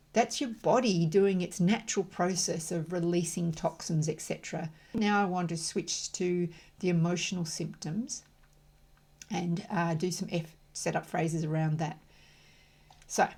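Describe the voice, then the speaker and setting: A middle-aged woman facilitating emotional freedom tapping, repeating affirmation phrases slowly and rhythmically between calm, chatty explanations. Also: fairly low pitch, gravelly, breathy edge. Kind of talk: guided tapping demonstration.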